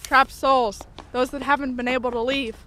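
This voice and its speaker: Woman performing unfazed young female ghost fighter, horror drama, commanding, crisp bright tone, faint background noise. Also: high voice, fairly even intonation, moderate pace. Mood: matter-of-fact. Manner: matter-of-fact, cool, commanding. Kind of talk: audio horror drama dialogue.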